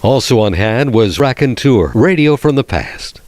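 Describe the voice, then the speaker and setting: A man's voice: mid-range voice, expressive, a little slow, darkish tone. A man narrating a promotional piece from a script.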